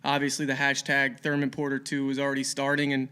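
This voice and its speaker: A man co-hosting a sports podcast, giving casual fight analysis in an earnest, measured way. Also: mid-range voice, completely flat delivery, sharp tone, smooth. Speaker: boxing podcast co-host.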